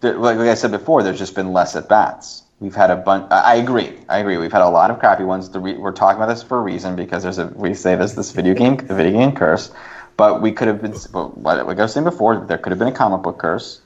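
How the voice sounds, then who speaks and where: Deep-voiced man in casual discussion, dull recording. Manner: halting, reworks sentences as he argues. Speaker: podcast guest.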